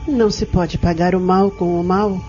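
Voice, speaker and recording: An actress playing a gentle but firm woman counselling a troubled spirit in an audio drama, earnest and persuasive. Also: lowish voice, fairly even intonation, normal speed, faint music behind, natural voice. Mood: patient, persuasive. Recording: dull lo-fi audio drama recording.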